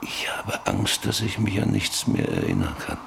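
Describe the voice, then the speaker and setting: An actor in a German radio drama playing an old, lonely rancher who broods bitterly over his life in halting, repetitive phrases. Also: deep voice, huge pitch swings, creaky, a bit bright, in a whisper, full character voice. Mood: fearful, then brooding, lonely. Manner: brooding, halting, repeating words.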